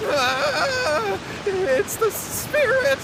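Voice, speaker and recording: A man's voice: extremely high pitch, big pitch swings, drawn-out words, very noisy, full character voice. A man playing a nervous, cowardly, scheming young man in a video game, stammering and pleading. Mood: terrified.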